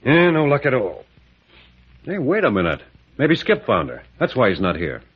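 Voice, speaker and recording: A man's voice: mid-range voice, wildly swooping pitch, normal speed, slightly rough. A man playing a blunt, combative adventurer captain with casual plain speech in an old radio drama. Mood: brisk, puzzled. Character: brash, plain-spoken American adventurer captain. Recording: muffled vintage radio drama recording.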